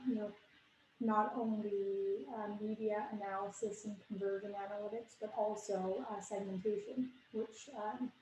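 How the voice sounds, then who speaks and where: A young woman from Canada walks an online audience through her slides, speaking casually and stopping often for fillers and restarts. Her voice sounds mellow and a little dark. It is picked up by a computer mic in an echoey room with a faint hum behind it. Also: medium pitch. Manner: many fillers and restarts, conversational. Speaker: conference speaker. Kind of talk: online conference presentation.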